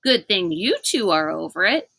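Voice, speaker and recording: A woman's voice: lowish voice, sing-song, normal speed, creaky, pushed and bright, breathy edge, no room sound, full character voice. A woman voicing a cheerful, dim-witted, chatty male school minder in a children's storybook, breezy and guileless. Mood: brisk, chirpy. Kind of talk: children's storybook read aloud.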